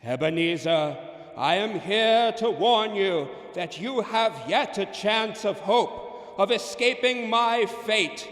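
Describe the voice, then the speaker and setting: A man's voice: high-pitched, slow, a bit bright. An actor playing a mournful, solemn male ghost, declaiming in old-time radio drama style. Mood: grave warning. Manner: declamatory, wailing. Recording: echoey, long ghostly reverb.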